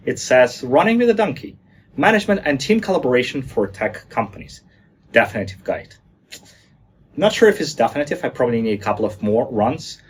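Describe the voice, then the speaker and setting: A man's voice: fairly low pitch, a little hiss or hum. A man from Germany giving a lighthearted presentation talk, joking along and stumbling over fillers now and then. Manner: jokey, lighthearted, with hesitant fillers.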